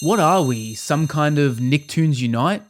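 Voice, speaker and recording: A man's voice: on the high side, animated, unhurried. Man narrating a scripted review over music. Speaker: video host and game reviewer. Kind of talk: scripted video essay narration.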